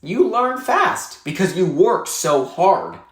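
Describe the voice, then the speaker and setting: A man's voice: sits high, big pitch swings, slow, voice pushed up, heavily acted. A man reading the part of a friendly, encouraging Irish aid worker in a storybook. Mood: warm encouragement. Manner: kindly, encouraging, informal. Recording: echoey room.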